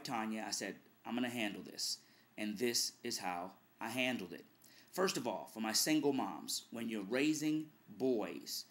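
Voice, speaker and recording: Middle-aged male host, low voice, earnest home webcam vlog. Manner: earnest, advice-giving, speaking to the camera. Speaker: radio host. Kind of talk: direct-to-camera video blog. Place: living room at home.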